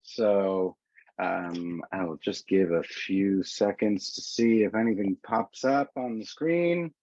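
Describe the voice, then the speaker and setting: A man's voice: on the low side, slow, darkish tone, no room sound. A man presenting rates on a travel webinar over a video call, talking casually with many fillers and restarts. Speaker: tour organizer. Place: indoors in a city. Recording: dull lo-fi video call audio.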